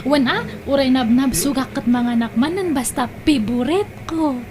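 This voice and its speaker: A woman's voice: high voice, unhurried, noisy background. A woman playing a coy, secretive young woman in a comedy drama, with an Ilocano accent. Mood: hushed, secretive. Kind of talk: Ilocano comedy radio-style drama acting.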